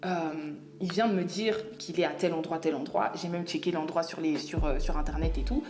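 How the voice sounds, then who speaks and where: A young woman from Belgium, telling a personal story in a chatty, animated way and slipping in English phrases. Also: sits low, brisk, noisy background. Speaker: vlogger. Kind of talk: casual storytime monologue.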